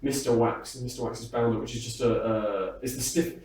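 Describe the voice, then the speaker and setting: A British man talks casually in an interview, his voice set back from the microphone in a very echoey room. He rambles amiably through his answers, hesitating often and gently poking fun at himself. His pitch sits in an ordinary male range. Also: fairly even intonation, fairly quick, far from the mic, slight background noise. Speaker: interviewed singer and entertainer. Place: backstage at a music hall.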